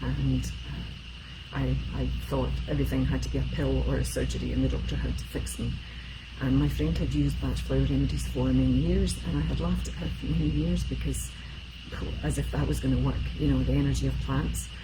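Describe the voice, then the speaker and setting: Scottish woman, 50s, very deep voice, chatty live-stream talk full of ums, audible background noise. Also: steady tone, fairly quick. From Scotland. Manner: chatty, rambling with many fillers. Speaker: emotional support specialist.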